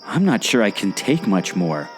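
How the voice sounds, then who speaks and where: A man playing an excitable, skeptical, wisecracking amateur explorer in a scripted audio drama, with a theatrical, jokey delivery. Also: on the low side, moderate pace, soft background music. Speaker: co-host of a theme park podcast.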